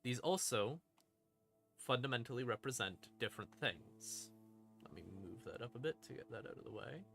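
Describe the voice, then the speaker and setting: A man hosting a stream and explaining game lore in a casual monologue, with theatrical, deliberate pauses between short phrases. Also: medium pitch, animated, creaky, a bit bright. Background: ambient music in the background.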